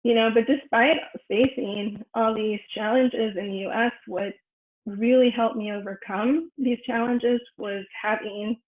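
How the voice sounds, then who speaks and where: A woman researcher whose first language is Spanish, giving a personal academic presentation with many pauses and fillers. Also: fairly high pitch, fairly even intonation. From United States. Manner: thoughtful, frequent fillers and restarts. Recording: echoey room over a phone-like line.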